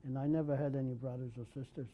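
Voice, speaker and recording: A man in his sixties, from Poland, recalling his early life in an oral history interview, speaking haltingly with many pauses and restarts. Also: medium pitch, flat delivery, warm tone, a little hiss or hum. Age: 60s. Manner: hesitant, many ums and restarts. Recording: dull lo-fi 1990s tape.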